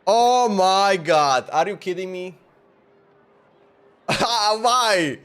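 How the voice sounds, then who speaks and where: A man's voice: high-pitched, lively intonation, extremely slow, fairly bright tone, a little hiss or hum. A man livestreaming a game, chatting casually and rambling as he thinks aloud and repeats himself. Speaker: game streamer.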